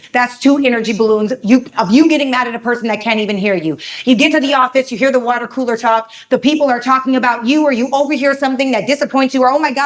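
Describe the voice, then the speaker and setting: A woman from Canada with a raspy, hoarse voice, speaking as a lively, bubbly panelist in a live panel talk. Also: on the high side.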